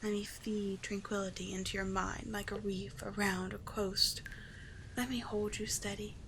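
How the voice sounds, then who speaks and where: A regal, doting sea witch, played by a woman, speaks in a soft, breathy, medium-pitched voice that often sinks toward a whisper. She coaxes and teases in formal storybook phrases, as a soothing mentor in an ASMR roleplay. The recording is close, with a faint low rumble beneath. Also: little pitch movement, normal speed, close to an everyday voice. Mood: calming.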